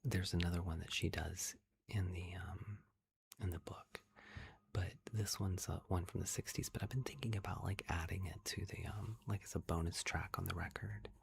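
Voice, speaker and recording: A livestream host chatting in a soft, giggly ASMR whisper, thanking viewers. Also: low-pitched, steady tone, normal speed, vocal fry, a little hiss or hum.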